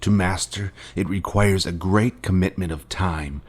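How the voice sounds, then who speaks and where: A man playing a grave former Jedi Master in a video game, speaking in a solemn, deliberate, rhetorical way to his fallen pupil. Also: sits low, fairly even intonation. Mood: solemn, accusing. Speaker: game character. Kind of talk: scripted dramatic video game dialogue.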